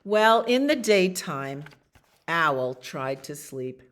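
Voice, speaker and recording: A woman with a low voice reads children's bedtime stories at a very slow, unhurried pace. Her pitch moves in lively swings as she tells the tale, and she drops into casual asides about the pictures. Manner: expressive reading with acted animal sounds and pauses to comment on pictures. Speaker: children's storytime reader at a library.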